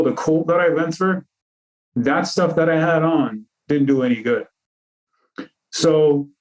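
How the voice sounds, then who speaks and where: A man from Iran, a cybersecurity consultant, giving measured explanatory answers in an interview over a video call. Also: on the high side, poor audio. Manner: explanatory, measured, lists terms.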